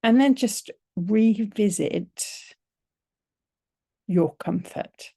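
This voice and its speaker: A woman teaching yoga nidra, leading a guided relaxation in a gentle, soothing, unhurried manner with long pauses. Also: medium pitch, gravelly, slightly rough, no echo, clean studio sound.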